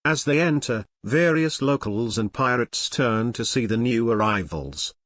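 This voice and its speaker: A man narrates an audio drama from a script. His voice is mid-pitched and the recording is dry, with no room echo. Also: expressive, unhurried, a bit bright.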